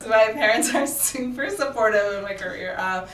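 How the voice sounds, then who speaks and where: Woman from japan, comedian guest, bright voice, laughs a lot, echoey studio w/ mic a bit far. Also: a little high, normal speed. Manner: laughs often, chatty and playful. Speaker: comedian and actor, interview guest. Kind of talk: casual interview chat.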